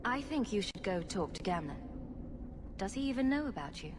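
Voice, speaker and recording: A sarcastic woman rogue voiced by an actress in a fantasy game, dry and flippant. Her medium-pitched voice carries long reverb and faint noise behind it. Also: big pitch swings, normal speed, close to an everyday voice. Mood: sincere. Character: wry, sarcastic female rogue adventurer. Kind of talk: fantasy video game acting.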